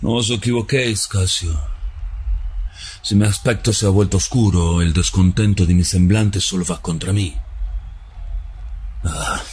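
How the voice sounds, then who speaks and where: A man playing Brutus, a troubled noble Roman, speaking in a grave, brooding, measured theatrical recitation. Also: on the low side, slightly rough. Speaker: actor performing a stage monologue. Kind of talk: theatrical radio-drama recitation.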